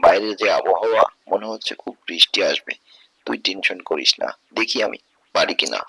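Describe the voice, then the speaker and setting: A caring, poor village father in a cartoon tale, played by a man. He has a forceful, bright voice that is a little low, with an earnest manner. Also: animated, brisk, creaky, breathy edge, no room sound, exaggerated voice. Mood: caring, reassuring. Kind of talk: Bengali cartoon story dubbing.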